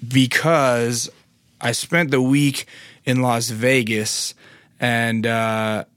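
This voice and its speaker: A man hosting his podcast, his voice raspy and hoarse from losing it, telling stories in a laid-back, rambling, profane way. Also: mid-range voice, fairly even intonation, very slow, fairly bright tone, no echo. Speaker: podcast host. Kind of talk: casual monologue.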